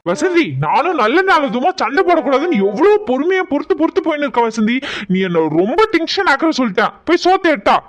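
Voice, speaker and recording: A performer voicing a quick-tempered but doting father in a family comedy skit. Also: high voice, big pitch swings, lo-fi sound. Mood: irritated. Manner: scolding, then fond cooing.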